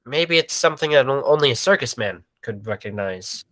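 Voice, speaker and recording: An actor playing a businesslike, callous circus boss in a 1940s-style radio play, with emphatic stagey delivery. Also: male voice, mid-range voice, animated, fairly quick, fairly bright tone, lightly acted. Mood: grave.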